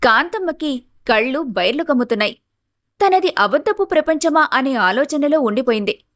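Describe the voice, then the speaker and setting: High-pitched woman story narrator. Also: lively intonation, normal speed, a bit bright, no echo, studio quality.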